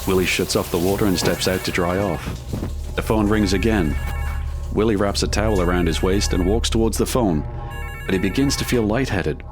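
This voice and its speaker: A male narrator reads a scripted gothic audio drama. His voice is low, and music plays clearly behind him. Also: moderate pace.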